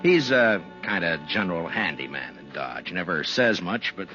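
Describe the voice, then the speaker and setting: A man's voice: low voice, big pitch swings, normal speed. An actor playing an easygoing, modest frontier marshal in a 1950s radio western, conversational and a little hesitant in his plain-spoken way. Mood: warmly reminiscing. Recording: muffled 1950s radio recording with music.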